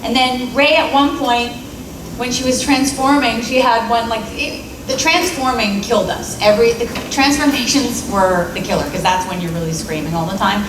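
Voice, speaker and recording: A middle-aged woman with a somewhat high voice tells lively stories from the panel stage and acts out her past reactions. She sounds distant in a big echoey convention hall. A steady murmur of noise sits under her voice. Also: normal speed. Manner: animated storytelling, acting out her old reactions. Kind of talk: casual panel chat. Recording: echoey hall from far off, noisy.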